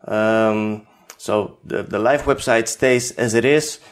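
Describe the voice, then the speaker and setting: A man from the Netherlands with a Dutch accent, whose first language is Dutch, giving an unscripted software tutorial in a hesitant way with many fillers. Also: lowish voice, steady tone, takes it slowly, clear, resonant tone. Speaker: tutorial host.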